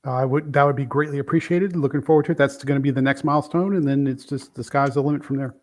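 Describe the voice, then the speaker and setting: A man's voice: medium pitch, fairly even intonation, fast talker, darkish tone. A man in his fifties from Brooklyn, a guest reviewer chatting casually, rambling and correcting himself as he goes. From Brooklyn, New York. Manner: rambling, self-correcting, enthusiastic. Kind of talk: casual chat.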